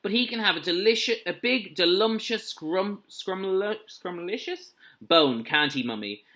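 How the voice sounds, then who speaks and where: Man putting on an excited little storybook girl. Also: high-pitched, a little slow, pitched above the performer's own voice, exaggerated voice. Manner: excited, playful, emphatic.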